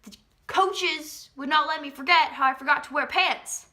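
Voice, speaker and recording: A young woman tells a personal story as a vlogger. Her voice sits high and has a bright, forward edge. The delivery is theatrical and emphatic, built for comedic storytelling. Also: moderate pace. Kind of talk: dramatic comedic storytelling to camera.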